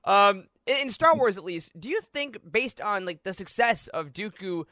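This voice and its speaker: A man hosting a podcast in a casual, bantering way, joking and quick to laugh. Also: high voice, expressive, bright, forceful tone, no room sound. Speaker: podcast host.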